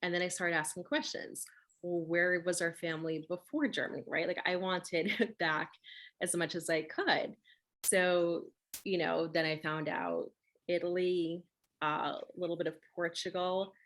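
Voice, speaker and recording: A woman's voice: on the low side, fairly even intonation, unhurried, fairly bright tone. A woman who grew up speaking Russian, hosting a conversational interview and talking emphatically, often checking with "right?". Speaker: host.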